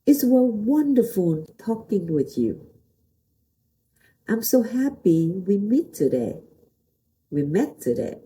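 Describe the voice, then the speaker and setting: A woman's voice: medium pitch, very animated, takes it slowly, pauses often, mellow. A middle-aged woman from Vietnam with a Vietnamese accent gives a motivational talk in simple non-native English, using short repeated phrases and questions to the listener. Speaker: host, motivational teacher and pastor.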